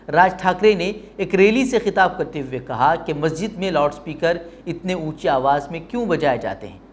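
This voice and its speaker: A man's voice: a little high, a little hiss or hum. A man presenting a news bulletin with pointed commentary, speaking in an emphatic, rhetorical way.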